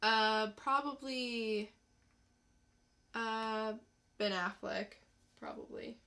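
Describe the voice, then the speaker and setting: Female podcast co-host, slow-paced and bright, casual delivery of comic reviews and blurbs. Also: a little high, steady tone.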